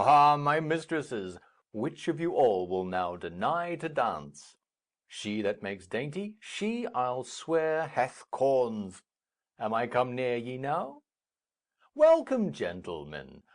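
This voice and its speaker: An actor playing a jovial, blustering old lord in theatrical Shakespearean style, booming and declaiming. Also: male voice.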